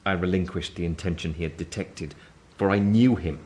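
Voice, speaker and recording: An actor playing a solemn gentleman narrating his past in a radio play, measured and grave. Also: sits low, lightly acted. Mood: brooding, tense.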